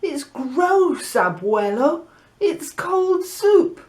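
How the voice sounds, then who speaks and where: A woman's voice: sits high, big pitch swings, drawn-out words, a little mellow, voice pushed up, exaggerated voice. A woman voicing a cheerful, outspoken young English girl in a children's story, exclaiming playfully. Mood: playfully disgusted.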